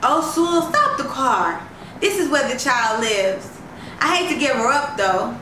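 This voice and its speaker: A woman's voice: sits high, lively intonation, a little slow, sharp tone, voice pushed up, heavily acted. A woman voicing a kind, genteel lady traveller, gracious and amused, in a storybook reading. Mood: tender. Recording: roomy, distant, noisy home recording.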